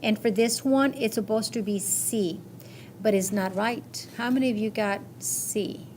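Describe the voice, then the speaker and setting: A woman's voice: mid-range voice, measured pace, a little mellow. A woman teaching a math class, going over quiz answers step by step and checking with short questions. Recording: noisy classroom recording.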